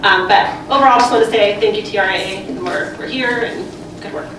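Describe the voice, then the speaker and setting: A woman who founded a community group speaks in a friendly, upbeat way and says "um" often. Her voice has a middle pitch. She is heard from a distance in a very echoey room, with noise behind her. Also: brisk. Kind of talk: public comment.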